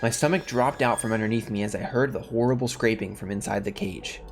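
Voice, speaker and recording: A man's voice: on the low side, fairly quick, soft background music. A man who hosts gaming videos, reading story text aloud and commenting casually.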